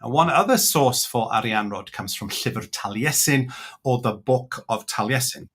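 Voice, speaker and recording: A man from Wales, a native Welsh speaker, giving an online lecture and slowing down to stress key words. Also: medium pitch, expressive, a bit bright, slightly rough. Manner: measured, slows to stress words. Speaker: author and speaker.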